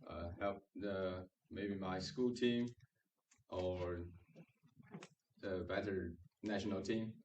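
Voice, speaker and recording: Male student from China, slow and hesitant, low voice, off-mic with faint background noise. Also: fairly even intonation, darkish tone, roomy sound, recorded from a distance, muffled recording. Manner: hesitant, many fillers. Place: lecture room.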